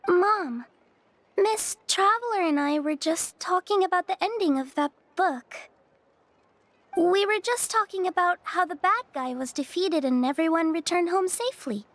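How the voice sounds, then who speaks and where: Shy little girl, very high voice. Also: dry recording, full character voice. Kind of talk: video game voice acting.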